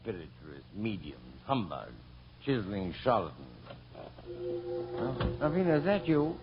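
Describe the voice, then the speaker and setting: An actor playing a fussy, henpecked middle-aged husband with dry sarcasm in a 1940s radio comedy. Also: male voice, lowish voice, sing-song, normal speed, loud music behind the voice. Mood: grumbling. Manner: flustered, dryly sarcastic, fussy. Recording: muffled, noisy old radio recording.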